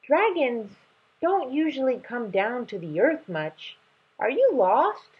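Woman doing a cheeky high-pitched little lion cub. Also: sing-song, takes it slowly, breathy edge, lo-fi sound, full character voice. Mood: curious, boastful. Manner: teasing, blunt, childlike. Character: cheeky, giggly young male lion cub, stone statue. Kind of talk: children's storybook read-aloud.

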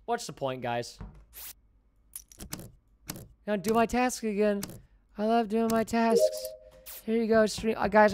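A man hosting a live game stream with friends, talking over play in a playfully dramatic, excitable way. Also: high voice, noisy background. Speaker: gaming streamer host. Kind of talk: live gameplay commentary with friends.

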